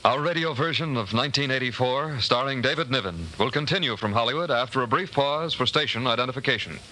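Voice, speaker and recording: A man's voice: medium pitch, quick pace, sharp tone. A man making formal, polished announcements in the style of a 1940s radio announcer. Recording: noisy vintage 1940s radio broadcast.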